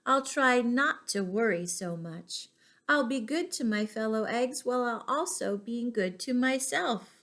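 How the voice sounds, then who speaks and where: A woman voicing an earnest, friendly egg from a children's storybook, speaking expressively with drawn-out words. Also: fairly high pitch, lively intonation, fairly bright tone. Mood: earnest, resolved.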